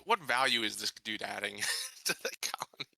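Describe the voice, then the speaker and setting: A man playing a tabletop game, improvising courtroom questions with halting, somewhat formal phrasing. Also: fairly low pitch, lively intonation, gravelly, bright, forceful tone, dry recording, rough recording. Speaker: tabletop player questioning a witness in character.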